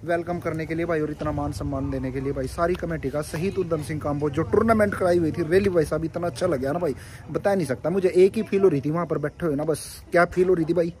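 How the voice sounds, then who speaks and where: A man, chatting casually and loudly as he vlogs at a village cricket tournament, thanking people and joking. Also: on the high side, fast talker. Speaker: vlogger. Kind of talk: casual outdoor vlog chat. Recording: loud crowd around. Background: crowd.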